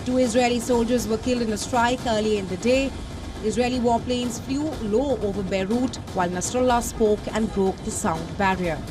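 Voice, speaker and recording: A woman reading a scripted news report in a formal, measured delivery. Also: a little high, noisy background. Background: music bed.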